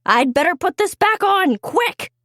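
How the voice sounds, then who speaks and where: A woman's voice: very high-pitched, lively intonation, measured pace, pushed and bright, no room sound, clean studio sound, pitched above the performer's own voice, heavily acted. A woman voicing a kindly, folksy male steam train engineer in a children's storybook. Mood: worried.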